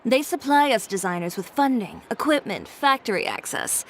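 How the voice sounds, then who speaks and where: An actress playing a poised, businesslike adult fashion designer with a composed, dry manner. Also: female voice, a little high, wildly swooping pitch, moderate pace, a little hiss or hum. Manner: composed, dry, matter-of-fact. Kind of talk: video game voice acting. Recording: game audio with loud music.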